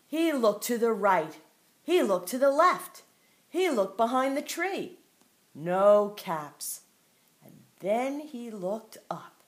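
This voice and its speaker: An older woman, a grandmother, reading a picture book aloud to her grandchild with playful, expressive asides. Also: a little high, takes it slowly. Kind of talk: storybook read-aloud.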